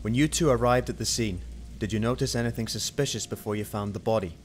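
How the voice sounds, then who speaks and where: A man's voice: on the low side, fast, close to an everyday voice. A man playing a young, hard-nosed, suspicious police detective in an amateur radio play. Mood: brooding, suspicious. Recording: music and rain under the voice.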